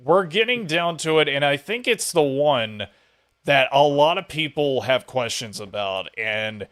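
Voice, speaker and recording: A man's voice: medium pitch, fairly bright tone, clear, resonant tone. Casual male podcast interviewer. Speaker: podcast host interviewing a guest.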